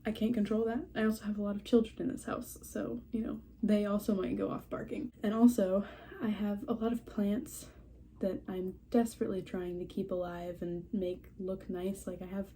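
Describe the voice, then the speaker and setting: A woman's voice: medium pitch, flat delivery, moderate pace, warm tone. A young woman with a cold, sounding stuffy and a little scratchy, chatting casually and rambling from her home in a vlog update. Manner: rambling, chatty, self-deprecating, laughs often. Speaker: horse breeder vlogger. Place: at home among houseplants.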